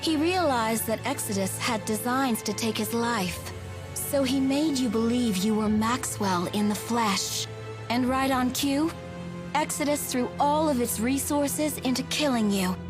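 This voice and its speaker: A woman's voice: on the high side, a little slow, over music, natural voice. An actress playing a haughty, duty-bound female spirit in a video game, speaking formally and imperiously with precise diction. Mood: cold, scornful. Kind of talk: dramatic video game voice acting.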